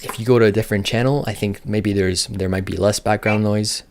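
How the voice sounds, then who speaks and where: A man with a fairly low voice talks through a product in a casual, unscripted way. He thinks aloud and keeps a matter-of-fact manner, like a reviewer working things out as he goes. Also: steady tone, a little fast, a little mellow.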